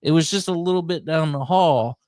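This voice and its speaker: A man's voice: fairly high pitch, a little fast, darkish tone, no room sound, studio quality. A man hosting a casual chat, rambling and joking crudely with an incredulous manner. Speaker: radio show host.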